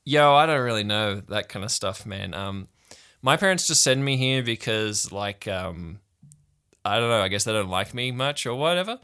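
Slacker camp boy, voiced by a man, tabletop game: easygoing, vague, self-styled king, bright edge to the voice. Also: lowish voice, expressive, moderate pace, clear, resonant tone. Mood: laid-back. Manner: drawling, slangy, vague, full of likes and whatevers.

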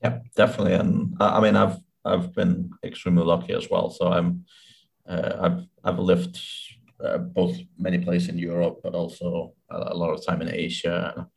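Deep, very creaky-voiced male interviewer. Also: level tone, moderate pace, a little mellow. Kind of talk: casual conversational interview.

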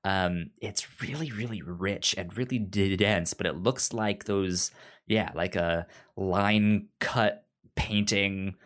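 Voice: low voice, slow pace, a bit bright. A podcast co-host casually discussing books in a hesitant, stammering way.

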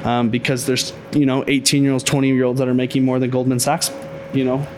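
Confident man pitching crypto. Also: flat delivery, brisk, slight background noise. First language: Haitian Creole speaker. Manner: confident, pitching, hedges with "I think". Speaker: crypto consultant guest. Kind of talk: casual podcast interview.

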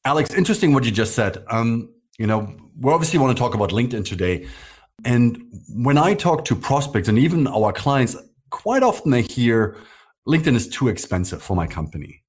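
A man's voice: mid-range voice, very animated, brisk, breathy edge. A man hosting a podcast interview, friendly and filler-laden as he asks his guest follow-up questions. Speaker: podcast host.

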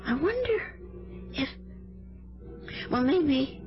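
A woman's voice: high voice, very animated, drawn-out words, full character voice. An actress playing a fretful, timid older landlady in an old radio drama, fluttery and hesitant, often muttering to herself. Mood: fretful musing. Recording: muffled vintage radio broadcast with music.

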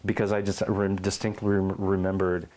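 Middle-aged guy telling stories at home, lowish voice, acts out the quotes. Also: brisk, slight background noise. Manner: animated storyteller, acts out quotes and meows. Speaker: interviewee. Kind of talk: oral history interview. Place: his home.

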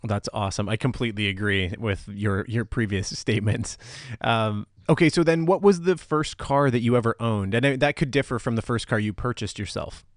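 A man's voice: lowish voice, moderate pace, no room sound, clean studio sound. A man hosting a casual podcast interview, encouraging and polite, with hesitant phrasing. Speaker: podcast host.

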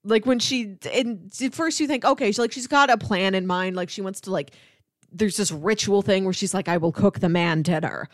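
A woman hosts a casual film discussion in a medium-pitched voice. She tends to act out imagined lines as she explains her points. The sound is close and dry. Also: moderate pace, no echo. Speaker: film discussion podcast host.

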